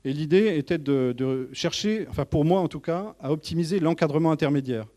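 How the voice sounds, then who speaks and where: Halting male presenter, mellow voice. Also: on the high side. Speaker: tourist office manager presenting. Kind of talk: informal professional presentation to colleagues.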